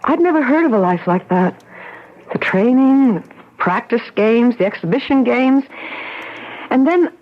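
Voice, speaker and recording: An actress playing an earnest, confiding wife in a 1970s radio drama. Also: female voice, on the high side, lively intonation, a little slow, long pauses. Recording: muffled vintage radio broadcast.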